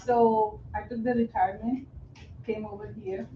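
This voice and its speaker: A woman's voice: mid-range voice, flat delivery, moderate pace, a little hiss or hum. A woman in her sixties from India with an Indian accent, modestly introducing herself as a new agent at a meeting and laughing often. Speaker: new real estate agent. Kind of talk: casual self-introduction at a meeting. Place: meeting room. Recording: echoey room, lo-fi.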